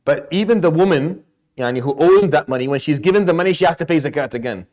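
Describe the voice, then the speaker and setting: A man from Syria teaches a class in a slightly high voice at a rapid clip. He is blunt and emphatic, acts out questions and answers, and drops Arabic terms into his English. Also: a little mellow. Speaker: lecturer in Islamic law. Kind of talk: informal classroom lecture.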